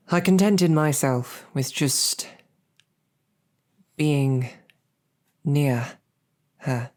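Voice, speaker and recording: An actress playing a smitten lowborn royal guard in an audio roleplay, with a halting, self-deprecating, earnest way of speaking. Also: female voice, darkish tone, full character voice. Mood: wistful, halting. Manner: halting, self-deprecating, colloquial tag questions. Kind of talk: scripted audio roleplay, halting heartfelt banquet speech.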